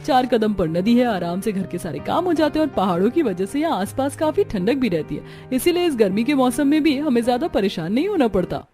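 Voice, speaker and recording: Woman playing a mellow, high-voiced young village daughter-in-law. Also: sing-song, fairly quick, hardly any pauses, soft background music. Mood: content. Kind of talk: scripted cartoon story dialogue.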